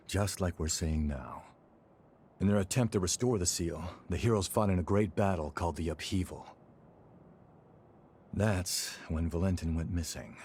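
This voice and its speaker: A man voicing a world-weary, loyal warrior in a video game, talking in a measured, casual and earnest way. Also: low voice, a little mellow, slight background noise, close to an everyday voice. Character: world-weary, loyal male revenant warrior searching for lost friend.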